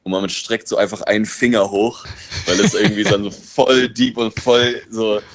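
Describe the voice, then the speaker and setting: Very deep-voiced musician, native English speaker. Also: male voice, moderate pace, a bit bright. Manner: loose, musing, thinks aloud. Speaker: musician and producer. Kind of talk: casual interview answers.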